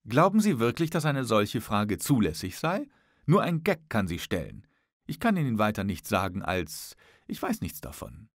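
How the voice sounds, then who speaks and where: A man's voice: fairly low pitch, huge pitch swings, no room sound, clean studio sound, heavily acted. A man reading the part of a witty, spirited young lady, formal and teasing, in an audiobook. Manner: formal, teasing, quick to retort.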